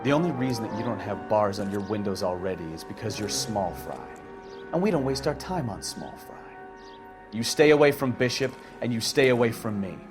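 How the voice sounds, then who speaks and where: An actor playing a brash, bullying police detective in a video game, with blunt, sarcastic, taunting delivery. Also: male voice, lowish voice, expressive, brisk, over music, natural voice. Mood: contemptuous, threatening. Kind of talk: dramatic video game acting.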